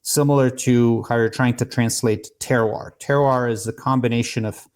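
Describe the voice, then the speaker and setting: A man's voice: medium pitch, fairly even intonation, moderate pace, darkish tone. A man from Serbia in his thirties, a guest on a podcast, explaining and telling stories with frequent restarts. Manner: explanatory, storytelling, many restarts. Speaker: podcast guest, cigar maker. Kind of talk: casual podcast conversation.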